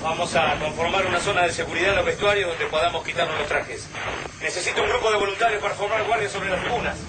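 A man with an Argentine accent playing a brisk, commanding army major in a radio drama. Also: high-pitched, close to an everyday voice. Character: brisk, commanding military major giving orders. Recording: distant voice under very loud noise.

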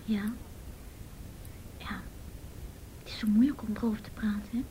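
An actress playing a shy, grieving teenage girl in a radio drama, speaking softly and breathily, halting and guarded. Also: mid-range voice, steady tone, a little hiss or hum, natural voice, rough recording. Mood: shaken, hesitant. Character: shy, hesitant, grieving teenage girl, loyal best friend.

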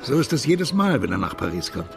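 An actor playing a worldly, sentimental, garrulous émigré drinking companion in a radio drama, with a gravelly, conversational delivery. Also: male voice, mid-range voice, very animated, a little fast, music in the background. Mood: garrulous, tipsy ease. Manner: conversational, expansive, rhetorical asides.

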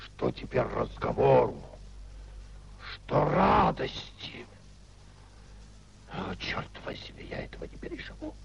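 Husky older nobleman, bitter, indignant, declamatory, fairly high voice, muffled 1950s radio play recording. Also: very animated, slow pace, pauses often, full character voice. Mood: furious, breathless. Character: proud, bitter, ruined older nobleman raging at his wife. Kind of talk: 1950s Russian radio stage acting.

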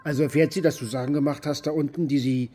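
Male actor as bitter broke war contractor dad, kinda higher voice, rambling and swearing, defensive, radio drama. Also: steady tone, fast talker, dark tone, faint music behind, natural voice. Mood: bitter, brooding. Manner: coarse, defensive, agitated rambling with rhetorical questions. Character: bitter, maimed ex-military contractor and father, abandoned and broke. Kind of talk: naturalistic radio drama monologue in interview form.

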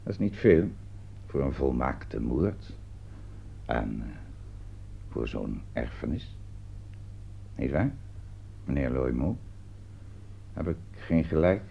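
A man's voice: extremely low pitch, very animated, slow, vocal fry, pauses often, slight background noise, heavily acted. A man acting in a radio play as a shrewd, accusing police commissioner, questioning and reasoning methodically and with emphasis. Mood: smooth, insinuating. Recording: dull lo-fi radio recording.

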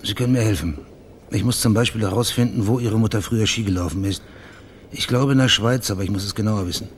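Male private investigator, played by an actor, radio crime play: low register, plain-spoken, probing, background ambience audible. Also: fast, a little hiss or hum, natural voice. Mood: insistent. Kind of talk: German radio crime drama acting.

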